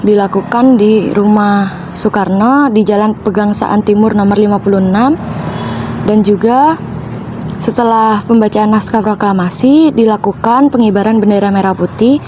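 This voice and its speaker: Teen girl explaining history for a school podcast, warm darkish voice, bit of hiss behind. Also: mid-range voice, moderate pace. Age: teens. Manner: earnest, informative, explaining history. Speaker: student podcast member.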